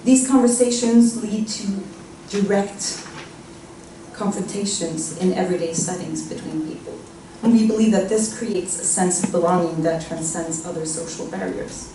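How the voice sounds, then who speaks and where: A woman with a Norwegian accent giving a short, measured scripted talk as a presenter. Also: fairly low pitch, noisy background. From Norway. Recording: echoey hall, mic at a distance.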